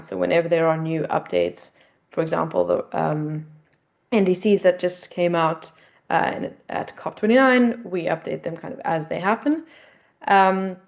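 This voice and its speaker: South African woman with a gravelly low voice. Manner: informal and steady, with frequent "um" and "kind of". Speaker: presenter at a finance event. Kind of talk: conference pitch with slides. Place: event stage.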